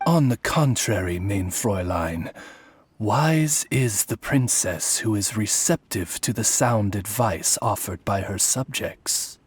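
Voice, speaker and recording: A man's voice: fairly low pitch, animated, takes it slowly, husky, heavily acted. A man voicing a loyal, courteous companion to a princess, speaking in formal, polished phrases. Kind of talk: video game voice acting.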